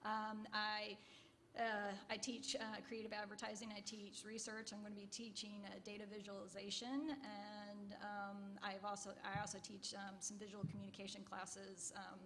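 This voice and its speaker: Woman panelist, monotone, casual and halting; faint room ambience. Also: medium pitch, slight background noise. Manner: casual, hesitant, many fillers. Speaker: advertising professor, panelist. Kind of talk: panel self-introduction.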